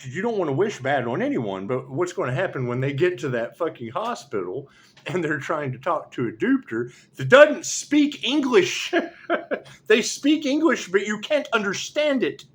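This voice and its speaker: A man's voice: high-pitched, big pitch swings, normal speed, slightly rough. A man rambling sarcastically as a tarot reader, swearing often and laughing between remarks. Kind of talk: rambling monologue.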